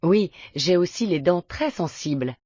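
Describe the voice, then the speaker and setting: A woman's voice: on the low side, animated, a little slow, warm tone, slightly rough, dry recording, studio quality. A woman acting as a polite everyday character in a scripted language-lesson dialogue. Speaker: dialogue actor.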